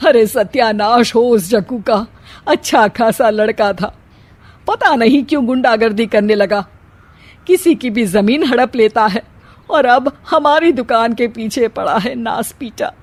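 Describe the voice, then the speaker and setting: A woman voicing an excitable older village mother-in-law in a story, scolding and full of exclamations. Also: a little high, a little slow. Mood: indignant.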